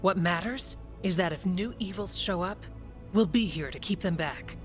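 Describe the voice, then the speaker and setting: Low-pitched, steadfast warden woman played by an actress. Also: normal speed, lightly acted. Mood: resolute. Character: steadfast, practical female warden, dutiful and reassuring. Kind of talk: video game dialogue acting. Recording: game music underneath.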